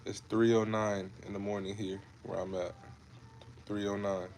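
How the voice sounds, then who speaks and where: Low-voiced guy in his 20s doing a chill fitness livestream, keeps saying bro and man, pretty monotone. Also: moderate pace, creaky, slight background noise. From Ohio. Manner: laid-back, repetitive, calls viewers bro and man. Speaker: fitness creator hosting a livestream. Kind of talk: casual livestream chat with viewers.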